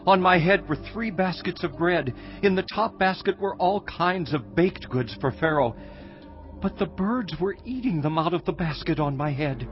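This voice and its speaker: An actor playing an eager royal baker of ancient Egypt in a dramatized scripture reading. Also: male voice, a little high, lively intonation, moderate pace, muffled recording, soft background music, natural voice. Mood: eager, hopeful.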